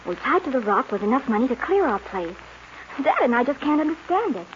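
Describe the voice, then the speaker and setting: An actress playing a devoted, lovesick young rancher's daughter in a 1940s western radio drama. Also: female voice, sits high, sing-song, a little fast. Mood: earnest, loving. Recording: muffled hissy 1940s radio recording.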